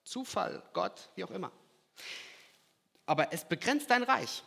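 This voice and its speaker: Animated preacher in a reverberant hall. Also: male voice, sits high, a little slow, creaky, slightly rough. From Kazakhstan. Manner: animated, swings from loud emphasis to quiet asides. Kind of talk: sermon.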